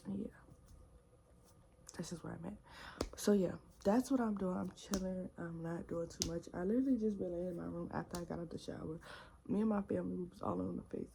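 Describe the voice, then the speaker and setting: A young woman vlogging from her bedroom in relaxed, rambling casual chat, full of slang and fillers. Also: lowish voice, creaky, slight background noise, low-quality recording. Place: bedroom at home.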